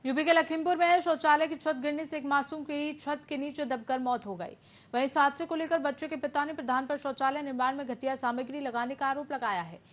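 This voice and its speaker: A woman's voice: sits high, fairly even intonation, a little fast. A woman anchor narrating a television news bulletin in a formal scripted style. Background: background music.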